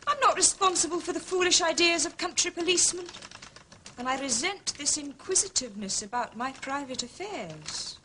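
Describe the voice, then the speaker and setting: An actress playing a proud, indignant wronged wife in a radio drama, with a clipped, theatrical upper-class English accent. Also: female voice, high-pitched, expressive, moderate pace, pushed and bright, a little hiss or hum. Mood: haughty, resentful.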